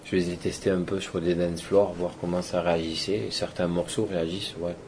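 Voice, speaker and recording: Man DJ from Toulouse, very low voice, easygoing and rambling, interview. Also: little pitch movement, brisk, noisy background. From Toulouse, France. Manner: loose and rambling, trails off with fillers. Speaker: electronic music DJ and producer. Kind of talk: casual interview answers.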